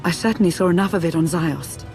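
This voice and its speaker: An actress playing a cautious, loyal female adviser in a video game, with measured, formal delivery. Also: lowish voice, darkish tone, lightly acted. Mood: concerned, guarded. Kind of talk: video game cutscene acting. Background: music.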